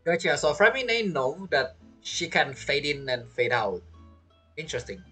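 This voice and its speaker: Low, husky, expressive woman commentating. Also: moderate pace. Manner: expressive, bantering, repeats words. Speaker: game streamer. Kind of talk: casual reaction commentary over gameplay. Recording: game music behind voice. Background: video game music.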